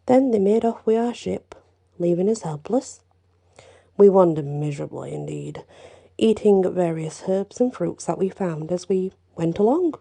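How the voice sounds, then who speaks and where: A woman storyteller reading a folktale aloud in first person, hushed, with whispered passages and casual asides. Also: on the low side, animated, measured pace, vocal fry, slightly rough.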